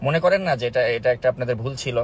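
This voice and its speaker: A man's voice: medium pitch, rapid-fire. A man with a Bangladeshi accent interviewing as a journalist, persistent and challenging, on a recorded call. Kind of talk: confrontational political interview. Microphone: recorded phone call. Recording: faint noise on recorded call.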